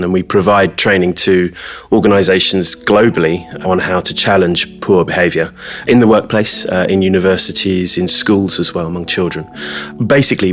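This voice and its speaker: Deep-voiced English man, reflective. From England. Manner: reflective, many fillers and restarts. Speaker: training company director. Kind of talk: interview answers.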